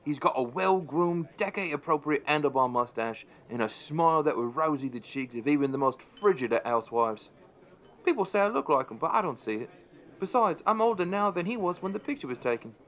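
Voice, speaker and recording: Man as a wry pub landlord, higher voice, very animated, chatty first-person storytelling, radio play. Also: a little fast. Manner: wry, conversational, expressive. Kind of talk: first-person audio drama narration.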